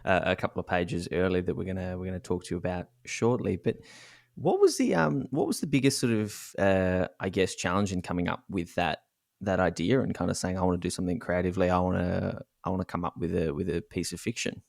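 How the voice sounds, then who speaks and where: A man's voice: low voice, expressive, brisk, gravelly, dry recording, clean studio sound. A man co-hosting a podcast, chatting casually and introducing things in a conversational style. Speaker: podcast co-host.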